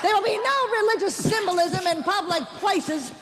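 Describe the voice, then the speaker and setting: A woman's voice: extremely high pitch, moderate pace, heavy background noise. An actress playing a zealous, defiant woman crusader in a comic Wild West radio drama, declaiming stridently. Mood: defiant, indignant. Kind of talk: comic old-time radio drama acting.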